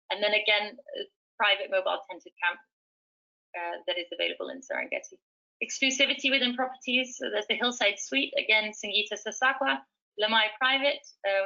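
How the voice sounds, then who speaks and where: A woman's voice: mid-range voice, pushed and bright, highs cut off. A woman presenting travel options in an enthusiastic way, with frequent fillers and restarts. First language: speaks fluent Swahili. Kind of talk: webinar presentation.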